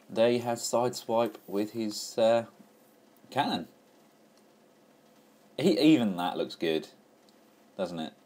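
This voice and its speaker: Man, lower voice, upbeat hobbyist reviewer talking through a toy. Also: darkish tone. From England. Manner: enthusiastic, chatty, with frequent fillers and restarts. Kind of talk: casual toy review walkthrough.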